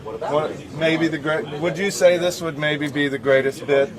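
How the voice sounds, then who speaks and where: A man's voice: on the high side, fairly even intonation, unhurried. A man in casual comic banter, playing along with the bit in a jokey way. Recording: chattering crowd behind.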